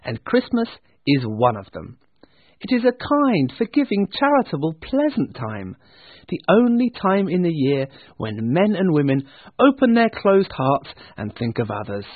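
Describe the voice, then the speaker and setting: A man voicing a cheerful, earnest young gentleman in a storybook, hearty and pleading. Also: a little high, huge pitch swings, a little slow, dry recording, voice pushed up, exaggerated voice. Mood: earnest, heartfelt. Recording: thin, phone-like narrow-band sound.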